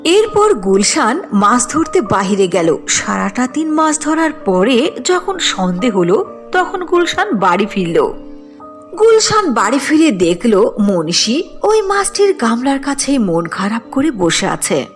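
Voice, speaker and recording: A woman's voice: on the high side, big pitch swings, measured pace, faint music behind. A woman narrating a scripted cartoon folk tale as a storyteller.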